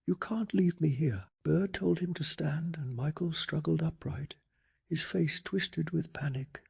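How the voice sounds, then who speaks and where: A man softly narrating a bedtime story in an ASMR style, with a calm, hushed, breathy delivery and some gravel in the voice. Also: on the high side. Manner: slow, calming, hushed delivery. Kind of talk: soft-spoken ASMR storytelling.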